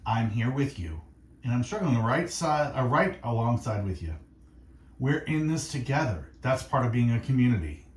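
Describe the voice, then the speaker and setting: Earnest male radio host, very expressive pitch. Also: echoey room. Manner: earnest, reflective, speaking in short deliberate phrases. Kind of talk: faith-based encouragement monologue on music radio. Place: radio studio.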